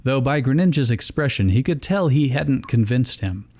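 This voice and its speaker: A man's voice: medium pitch, lively intonation, normal speed, darkish tone. A man reading fan fiction aloud as narrator, in scripted storytelling style. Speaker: reader and narrator.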